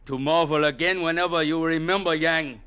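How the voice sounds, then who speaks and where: An actor playing a proud, ruthless Chinese warlord general with imperious, formal speech, in vintage radio drama style. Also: male voice, a little high, fairly even intonation, pushed and bright, smooth. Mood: defiant, commanding. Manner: imperious, grandly formal, deliberate. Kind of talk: 1940s-50s radio drama acting. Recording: vintage radio broadcast recording.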